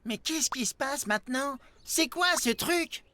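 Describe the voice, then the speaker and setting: High-pitched cocky cartoon bully boy. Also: unhurried, heavy background noise. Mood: alarmed, furious. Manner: mocking, threatening. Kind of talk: animated cartoon acting.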